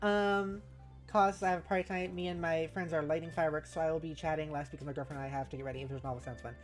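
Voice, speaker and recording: A woman streamer chatting casually with viewers, giggly and halting as she thinks aloud and reads out messages. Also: sits low, fairly even intonation. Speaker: streamer, host. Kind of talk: casual livestream chat, reading out viewer messages. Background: music.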